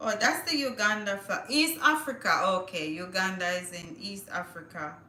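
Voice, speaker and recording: A woman hosts a relaxed livestream, chatting and thinking out loud as she reads news and looks things up. Her voice is bright and forward, and the room around her gives it a noticeable echo. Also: medium pitch, normal speed. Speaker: livestream host. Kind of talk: casual livestream chat, reading news aloud.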